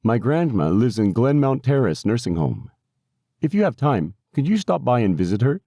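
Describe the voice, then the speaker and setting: A man's voice: mid-range voice, big pitch swings, normal speed, dark tone, no echo, studio quality, pitched above the performer's own voice. A man playing an upbeat, friendly man on a phone call in an audiobook. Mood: cheerful.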